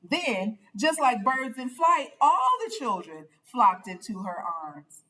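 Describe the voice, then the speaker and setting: A woman reads a children's picture book aloud in an expressive storyteller's style. Her pitch moves widely while her pace stays slow and deliberate. She sounds set back a little from the microphone. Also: recorded from a distance, poor audio.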